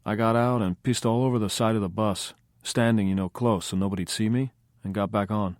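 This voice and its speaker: A man voicing a cocky, blunt small male drummer, telling rough stories. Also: on the low side, fairly even intonation, fairly quick, darkish tone, no echo, studio quality, lightly acted.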